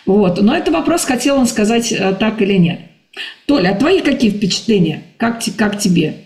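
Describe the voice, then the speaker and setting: A woman's voice: medium pitch, lively intonation, moderate pace, darkish tone. A woman hosting a casual film discussion, speaking conversationally. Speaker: host. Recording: roomy, echoing sound.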